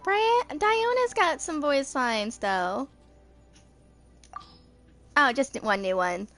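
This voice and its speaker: A woman's voice: sits high, lively intonation, takes it slowly, fairly bright tone, soft background music. A woman in her twenties hosting a gaming video, chatting casually and reading game text aloud, sometimes stumbling over words. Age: 20s.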